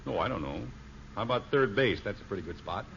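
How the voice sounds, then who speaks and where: A man's voice: lowish voice, expressive, quick pace. An actor playing an exasperated middle-aged American father in a 1950s radio sitcom, with dry, sarcastic delivery. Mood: dry, distracted. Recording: dull, noisy old radio recording.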